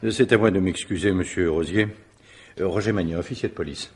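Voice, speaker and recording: An actor playing a courteous, probing police officer in a French radio mystery drama, formal and politely insistent. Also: male voice, low voice, a little fast, husky. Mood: firm, courteous.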